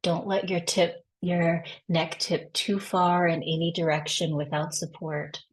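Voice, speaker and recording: A woman guides a body scan practice in a somewhat low voice. Her delivery is very slow and unhurried. She gives each instruction gently and with reassurance. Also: little pitch movement.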